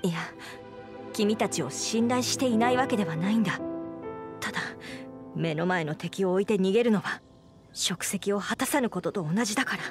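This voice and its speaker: Casting: woman as young female knight leader, medium pitch, formal, noble, earnest, anime game, music underneath. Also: animated, normal speed, natural voice. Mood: resolute. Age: young adult. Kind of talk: Japanese anime game voice acting.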